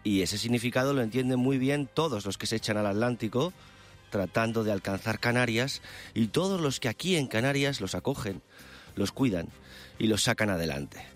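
A man presenting a live radio news chronicle in a descriptive, eloquent manner. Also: fairly low pitch. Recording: music bed under the voice. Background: background music.

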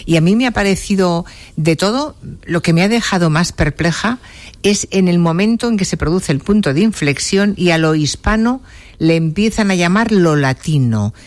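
A woman's voice: on the low side, animated, moderate pace, no room sound. Woman, casual interviewer, conversational, very dry sound.

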